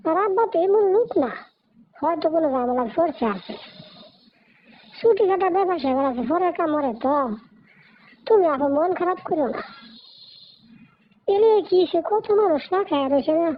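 A woman's voice: extremely high pitch, lively intonation, moderate pace, long pauses. A woman voicing a poor, hungry young brother, earnest and pleading in a cartoon. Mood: consoling. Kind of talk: Bengali moral story cartoon dialogue. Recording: dull, noisy lo-fi recording.

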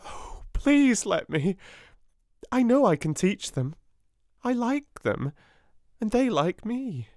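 Steadfast young girl, high and earnest, British, voiced by a man. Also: sing-song, slow, long pauses, darkish tone, no echo, studio quality, heavily acted. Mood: pleading. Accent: British English. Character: proud, steadfast little girl, quietly fierce.

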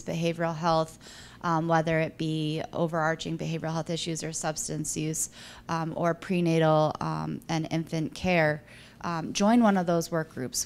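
Smooth, even-toned woman on a panel. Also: fairly low pitch. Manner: encouraging, earnest. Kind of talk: panel discussion answer. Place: auditorium stage panel.

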